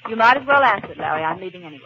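An actress playing a cool, resigned estranged wife in an old radio play, speaking curtly. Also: female voice, lowish voice, expressive, rapid-fire, lightly acted. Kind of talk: 1940s radio acting. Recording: muffled old radio, noisy audience behind.

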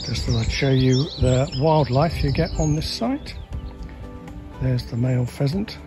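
A man's voice: mid-range voice, a little slow, over music. Man giving a walking campsite tour. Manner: relaxed, chatty, guiding with frequent fillers. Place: outdoors on a wooded campsite. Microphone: handheld camera, filming while walking.

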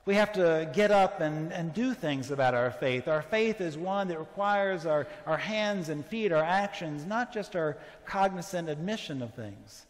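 A middle-aged man with a fairly high voice gives a conversational sermon, gesturing as he speaks. His words carry through a large church sanctuary with a long, lingering reverb. He talks with his congregation rather than at them. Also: lively intonation, measured pace, hardly any pauses. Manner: conversational, standing and gesturing as he preaches. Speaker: priest. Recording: church reverb from a fixed camera.

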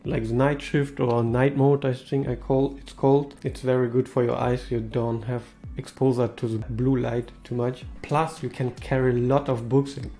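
A man's voice: medium pitch, flat delivery, moderate pace, few pauses, warm tone, faint music behind. A man in his thirties whose first language is not English, talking to camera in a small room in a casual, upbeat way with slightly non-native phrasing. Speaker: tech video host.

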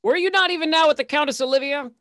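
A man's voice: very high-pitched, fast, a bit bright, no room sound, studio quality. A man playing a haughty, prim, scolding steward in a Shakespeare play reading. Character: haughty, prim male steward delivering a ring. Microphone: video call.

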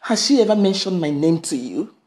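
A woman's voice: fairly low pitch, expressive, brisk, a little mellow, natural voice. A woman playing a possessive, emotional mother in a melodrama, pleading insistently with her grown son. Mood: suspicious, indignant. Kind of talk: melodramatic screen acting.

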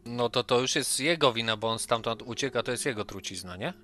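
A man of medium pitch discusses plans as a player in a tabletop role-playing game. Light music runs underneath his conversational speech. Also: brisk, sharp tone. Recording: music behind the voice.

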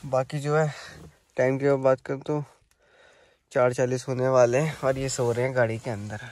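A man's voice: mid-range voice, little pitch movement, normal speed, a little mellow. A young man chatting casually to viewers during a night road trip, filmed on a phone inside his car. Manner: chatty, addresses viewers as bhai. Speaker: car vlogger.

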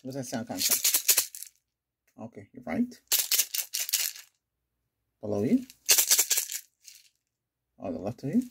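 A middle-aged man doing a casual ASMR doctor role-play, asking exam questions in a hesitant, unhurried way. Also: mid-range voice, huge pitch swings, gravelly, heavy background noise. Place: small curtained room indoors.

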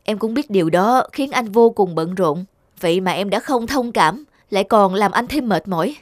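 A woman's voice: mid-range voice, expressive, normal speed, no room sound, lightly acted. A woman plays a devoted young cartoon boyfriend, earnest and plain in delivery. The voice is recorded dry. Music runs behind it. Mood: tired, irritated. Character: devoted, weary young man in love. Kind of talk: cartoon dubbing.

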